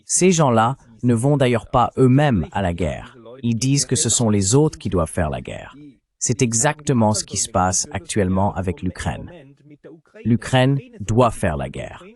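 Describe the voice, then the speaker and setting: Lively, low-pitched male interviewer.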